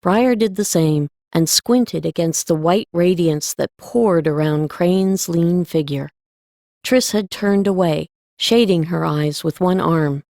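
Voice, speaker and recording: Low-voiced woman narrating an audiobook. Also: slow, a little mellow, no echo, studio quality. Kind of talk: scripted story narration.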